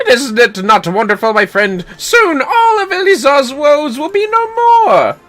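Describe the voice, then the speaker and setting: Flamboyant, high-swooping gentleman inspector. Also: sing-song, a little slow, full character voice. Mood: self-satisfied. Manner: grandiose, swooping, florid delivery. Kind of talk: theatrical voice-acting of game dialogue.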